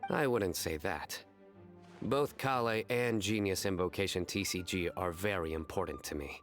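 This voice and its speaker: Casting: grave young game lawman, deep-pitched, measured and serious. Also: a bit bright, faint music behind. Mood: grave, caring. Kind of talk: video game cutscene acting.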